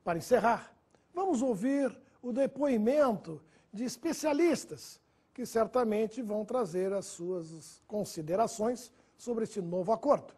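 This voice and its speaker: Breathy male teacher explaining grammar. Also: high-pitched, lively intonation, unhurried, mellow. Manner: didactic, lists words and checks in with "perfeito?" and "né?". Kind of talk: recorded grammar lesson.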